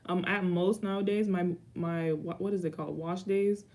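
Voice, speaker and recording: A woman's voice: lowish voice, steady tone, moderate pace, darkish tone. A young woman in her twenties answering viewer questions in a rambling, casual vlog chat with dry asides. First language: Haitian Creole. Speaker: YouTuber.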